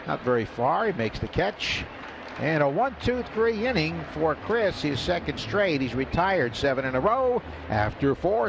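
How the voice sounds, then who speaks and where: A man's voice: on the high side, vocal fry. Man calling baseball on radio. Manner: easygoing, chuckles while calling plays. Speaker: play-by-play radio announcer. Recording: radio broadcast with crowd noise behind.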